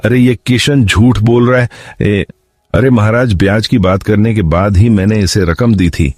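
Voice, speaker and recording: A man's voice: lowish voice, moderate pace, darkish tone, no room sound, clean studio sound, lightly acted. A man voicing a crafty landlord in a cartoon story, wheedling and protesting his innocence. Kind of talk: Hindi cartoon moral-story voice acting.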